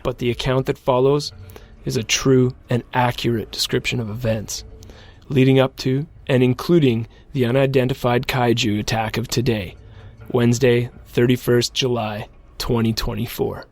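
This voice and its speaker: An actor playing a shaken ranger pilot who solemnly and haltingly records his testimony in an audio drama. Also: mid-range voice, flat delivery, darkish tone, close to an everyday voice. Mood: grave, shaken.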